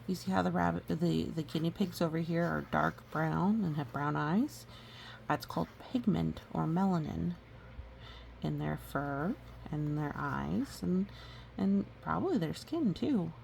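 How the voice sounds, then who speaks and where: Slow, creaky-voiced woman reading aloud. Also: deep voice, darkish tone. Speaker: storybook reader. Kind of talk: gentle read-aloud with educational asides. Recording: faint background noise.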